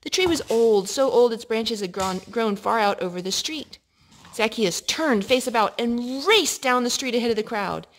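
A woman reading a picture book aloud to a child in an expressive way, pausing to ask questions. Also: on the high side, poor audio. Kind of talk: storytime read-aloud. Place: living room indoors at a couch.

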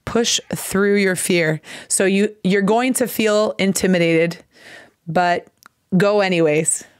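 An American woman in her forties, hosting a live video-call English lesson and mixing slow, careful phrasing with halting Portuguese. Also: medium pitch, dry recording. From United States. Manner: switches between English and halting Portuguese, explains phrases slowly. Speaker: English teacher and host. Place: home room, seated at desk. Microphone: desk microphone on video call.

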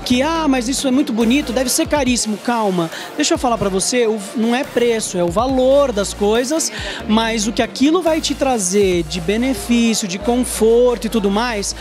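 A man's voice: very high voice, moderate pace. Prominent music plays behind a Brazilian man in his thirties. He is a talkative TV host doing an interview on location, speaking informally and with emphasis into a handheld mic. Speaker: TV show host. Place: trade fair stand indoors. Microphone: handheld reporter microphone.

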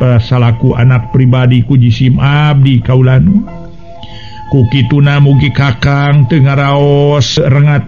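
A man's voice: medium pitch, natural voice. Man playing a pleading, devoted queen, muffled recording. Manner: deferential, pleading, courtly. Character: devoted queen, gentle wife pleading earnestly with king. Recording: dull lo-fi radio recording with music.

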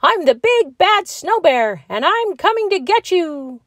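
A woman voicing a small young male bear cub in a storybook, with high, childlike calls. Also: big pitch swings, measured pace, dry recording, studio quality, heavily acted. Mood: excited.

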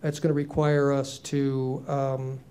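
A man's voice: medium pitch, completely flat delivery, takes it slowly, mellow, clear, resonant tone. A man in his sixties answering on a conference panel, earnest and reflective, with frequent ums and restarts into a handheld mic. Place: conference room, seated on stage.

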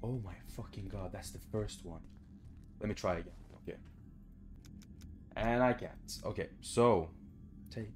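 A man's voice: lowish voice, a little slow, pauses often, soft background music. A young adult man giving casual, muttered video game commentary indoors, frustrated and swearing freely. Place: indoor room at home.

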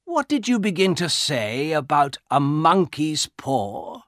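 A man's voice: on the high side, big pitch swings, slow, fairly bright tone, dry recording, clean studio sound, pitched above the performer's own voice, heavily acted. A man voicing a curious, hospitable old father in a storybook, eager and insistent.

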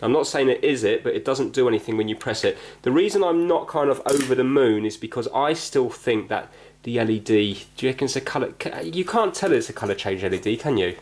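A man's voice: medium pitch, lively intonation, fairly quick, hardly any pauses, a little mellow. A man hosting a hobby repair video, chatting casually and explaining as he goes, often questioning himself. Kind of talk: casual hands-on repair chat, thinking aloud. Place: indoors at a workbench.

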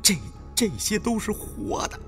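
A man's voice: measured pace, fairly bright tone, faint music behind, natural voice. A man voicing a frightened, polite male advisor in an audiobook, trembling and faltering. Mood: terrified.

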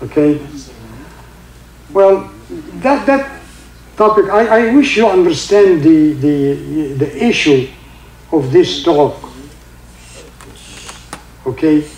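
An older man with a heavy Egyptian accent, a native Arabic speaker, giving an informal religious talk in halting English with many fillers and recited Arabic verses. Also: fairly high pitch, a little mellow, roomy sound, poor audio. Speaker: religious teacher. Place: indoor room with carpeted seating.

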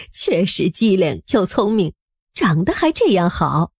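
A woman playing a kindly, shrewd elderly dowager in an audiobook drama, speaking in an indulgent, husky, unhurried way. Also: fairly low pitch, very animated, a little mellow, no room sound, studio quality, heavily acted. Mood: delighted.

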